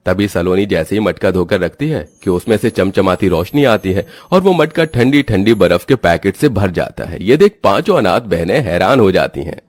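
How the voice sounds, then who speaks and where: A man's voice: low voice, lively intonation, moderate pace, hardly any pauses, darkish tone, no echo, soft background music. A man giving scripted narration as the storyteller of a Hindi cartoon tale.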